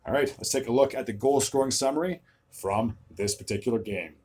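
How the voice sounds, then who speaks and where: A young man hosting a solo hockey recap video, his voice a bit raspy from recording several videos in a row, stumbling over player names and filling gaps with "uh". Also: mid-range voice, fairly quick, a little mellow. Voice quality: slightly raspy, tired voice.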